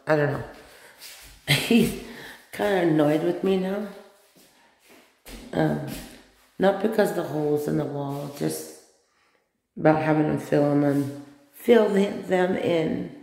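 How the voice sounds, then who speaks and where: A woman's voice: low voice, gravelly, warm tone. A woman filming her bedroom and doll collection on a phone, talking in a rambling, hesitant way and losing her words now and then. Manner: slow, hesitant, loses her words, nervous. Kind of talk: casual home vlog, rambling. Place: small bedroom at home. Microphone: phone camera held by the speaker, off screen.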